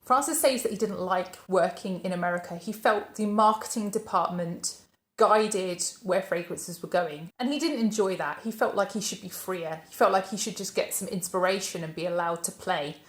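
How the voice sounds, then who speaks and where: A woman's voice: medium pitch, normal speed, roomy sound. A woman, hosting her channel and narrating a life story in a scripted way, posing questions and then answering them. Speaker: channel host.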